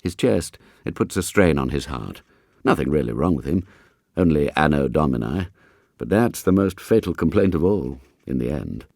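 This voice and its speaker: A man's voice: very deep voice, expressive, normal speed, creaky, warm tone, no room sound, studio quality, full character voice. Man playing a genial, frank English doctor. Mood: confidential. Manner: hearty, frank bedside banter. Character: genial, frank male family doctor, teasing and reassuring.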